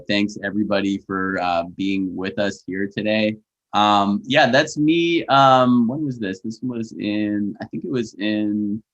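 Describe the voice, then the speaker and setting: A man with a low voice speaks over a somewhat dull call line. He is easygoing and hesitant, pausing on fillers as he recalls details. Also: fairly bright tone. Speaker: company founder. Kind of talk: casual webinar introduction. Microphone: video call.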